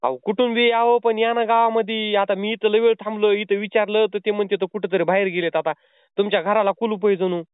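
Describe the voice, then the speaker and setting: A man, a fairly high and clear voice, talks quickly. He is posing as a delivery man on a prank call, pleading and insisting, and the line sounds thin and tinny, like a phone call. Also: little pitch movement, no echo.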